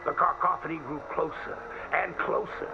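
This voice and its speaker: A man's voice: a little high, steady tone, normal speed, creaky, dull audio, background noise. A man acting as a folksy storyteller, telling a spooky cautionary tale with dramatic, old-fashioned diction. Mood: hushed, suspenseful. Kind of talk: theatrical radio-style storytelling. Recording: band-limited old radio sound, loud music behind.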